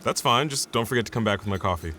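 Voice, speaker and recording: A man's voice: fairly low pitch, animated, quick pace, noisy background, lightly acted. A man acting as a sarcastic, exasperated lab assistant in an audio drama, talking colloquially. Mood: wry. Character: wry, exasperated male lab assistant and old friend.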